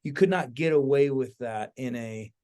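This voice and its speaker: A man's voice: medium pitch, normal speed, dark tone. A man hosting a podcast in a casual, conversational style, informal and enthusiastic. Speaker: podcast host.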